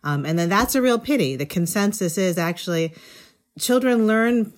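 A woman's voice: medium pitch, animated, moderate pace. A woman reporter giving conversational, explanatory answers in an interview. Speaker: education reporter, guest.

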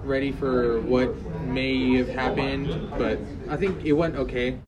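A teenage young man, a student announcer, talking casually and haltingly in an interview. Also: mid-range voice, little pitch movement, crowd noise, poor audio. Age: teens.

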